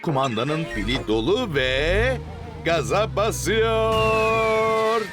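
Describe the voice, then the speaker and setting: Lively, slow children's cartoon narrator. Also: medium pitch. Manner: exclaiming, jumping into the characters' lines. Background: cartoon music.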